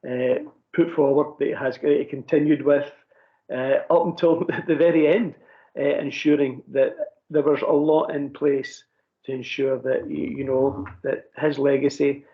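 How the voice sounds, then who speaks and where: A man, a councillor, giving a halting formal tribute at a committee meeting, with many fillers and restarts. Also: medium pitch, little pitch movement. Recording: dull lo-fi meeting audio.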